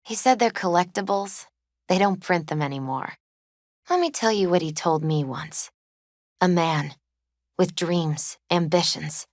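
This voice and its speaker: Game casting: soft-spoken young female fortune-teller, played by an actress, lowish voice, earnest and grieving, measured mystical delivery, close dry sound. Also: dry recording, lightly acted. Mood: wistful, reminiscing. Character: gentle, spiritual young woman tarot reader, grieving and earnest. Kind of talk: video game character dialogue and tarot readings.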